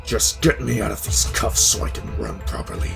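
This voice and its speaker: A man's voice: lowish voice, huge pitch swings, normal speed, creaky, over music, exaggerated voice. A man playing an older comic-book villain, haughty and impatient, with a theatrical, condescending sneer. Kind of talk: comic dub voice acting.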